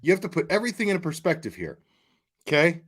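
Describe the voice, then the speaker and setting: A man's voice: on the high side, sing-song, fast talker, fairly bright tone, breathy edge. A man hosting a casual true-crime livestream, blunt and opinionated, often repeating words and phrases as he works out his point. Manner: emphatic, opinionated, blunt with profanity. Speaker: livestream host.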